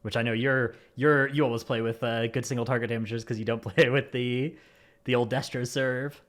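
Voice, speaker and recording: A man's voice: medium pitch, fairly even intonation, brisk. A man hosting a gaming podcast in a casual, chatty style. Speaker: podcast host.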